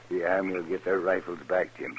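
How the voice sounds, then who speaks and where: Sly Western outlaw sidekick played by a man, rural American, low-pitched, folksy, hissy vintage radio recording. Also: little pitch movement, moderate pace, muffled recording, close to an everyday voice. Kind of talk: 1950s radio Western acting.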